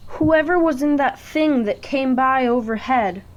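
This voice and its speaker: A woman's voice: sits high, unhurried, lo-fi sound. A woman playing an earnest young boy with an ominous seriousness in a radio play. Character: Tommy, earnest, ominous young boy who reads stories.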